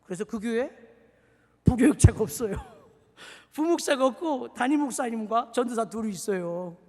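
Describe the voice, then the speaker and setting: A pastor from Seoul in his forties preaching a sermon, swinging from shouted exhortation to hushed, confiding asides. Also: extremely high pitch, expressive, moderate pace. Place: church sanctuary. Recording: reverberant church hall.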